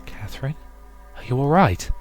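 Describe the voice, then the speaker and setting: A man's voice: medium pitch, big pitch swings, normal speed, soft background music, voice pushed up, natural voice. A man voicing an anxious, caring young woman who pleads urgently with her friend in a visual novel. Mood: worried.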